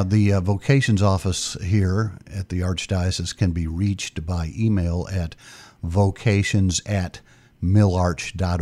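A man hosting a radio interview, reading out contact details slowly and putting questions to his guest. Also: sits low, warm tone, husky. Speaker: radio host.

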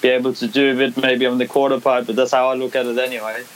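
A man's voice: medium pitch, monotone, fast talker, low-quality recording. A young man with a Danish accent, a skateboarder talking casually in an interview, hesitant with many restarts and fillers. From Denmark. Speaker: skateboarder, competition winner. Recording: faint background hiss.

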